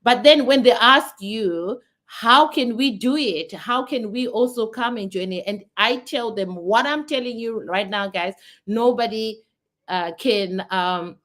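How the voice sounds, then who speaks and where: A woman's voice: fairly high pitch, measured pace, a bit bright. Earnest Zimbabwean woman vlogging. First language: not English. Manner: earnest, talks in restarts and repeats. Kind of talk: casual talk to camera.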